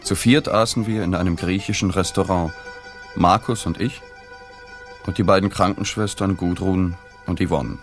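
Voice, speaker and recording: Actor as journalist narrating radio play, really low voice, matter of fact, faint music under it. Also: male voice, fairly even intonation, normal speed, natural voice. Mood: detached, observant. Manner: matter-of-fact, observant, restrained. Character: sober male journalist narrating his reporting.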